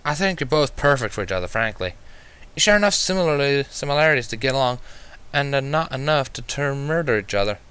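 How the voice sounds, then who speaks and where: A man's voice: mid-range voice, lively intonation, fast talker, bright, forceful tone, clean tone, voice pushed up. Storybook farm mare, adult female, amused and blunt, folksy delivery, slightly raised voice male reader performing. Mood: frank. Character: frank, teasing country farm mare, adult female pony.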